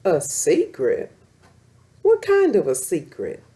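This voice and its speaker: A woman's voice: on the low side, wildly swooping pitch, slow, mellow, exaggerated voice. A woman voicing a caring, wise adult lioness mother in a storybook, speaking in a patient, instructive way. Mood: questioning.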